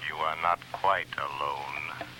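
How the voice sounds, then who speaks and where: An actor playing a mysterious, invisible male avenger who speaks in a slow, ominous, deliberate way in a 1930s radio drama. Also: very deep voice, steady tone, bright, forceful tone, a little hiss or hum, heavily acted, low-quality recording.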